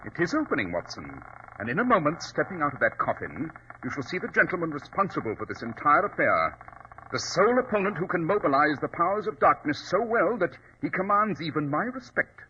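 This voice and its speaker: An actor playing a shrewd, self-assured gentleman detective with a clipped, superior manner in 1940s radio style. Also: fairly high pitch, very animated, a little fast, slight background noise. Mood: tense, dramatic. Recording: dull, rough vintage radio recording.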